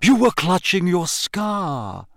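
Excitable lady divination teacher, acted by a man: storybook reading, grand, dramatic, lilting up and down. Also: high voice, slow pace, gravelly, no echo, clean studio sound, pitched above the performer's own voice, full character voice. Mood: excited, probing.

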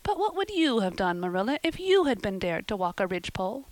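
A woman voicing a dramatic, imaginative young girl with earnest, high-flown phrasing. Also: sing-song, fast talker, no room sound, natural voice. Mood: plaintive.

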